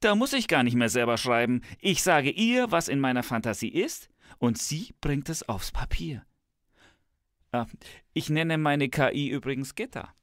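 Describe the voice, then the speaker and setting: Man playing a pompous professor with lively intonation. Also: mid-range voice, no echo, studio quality, natural voice. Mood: smug, visionary. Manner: pompous, theatrical, drawn-out emphasis. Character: smug, tech-enthused male professor, grandly visionary and teasing. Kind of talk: scripted satirical dialogue.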